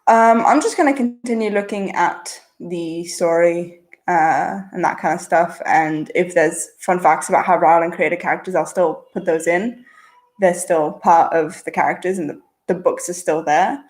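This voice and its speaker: A woman with a mixed international-school accent, chatting casually as she reads viewer questions aloud and answers them on a livestream. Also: lowish voice. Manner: chatty, answers quickly with frequent uh and um fillers. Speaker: channel host answering viewer chat.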